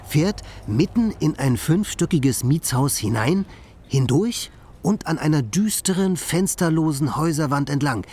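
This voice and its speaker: An actor playing a talkative, poor bohemian artist, florid and persuasive, in a literary radio play. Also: male voice, fairly high pitch, a little mellow, slight background noise. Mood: rhapsodic. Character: talkative poor male bohemian artist, playful, scheming matchmaker.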